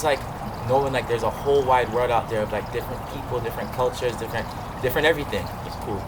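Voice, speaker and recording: Young man, from Trinidad, casual rambling answers, lots of filler words, loud noise behind. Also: mid-range voice. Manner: relaxed, rambling, heavy use of "like" and "you know". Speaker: interviewee, event promoter.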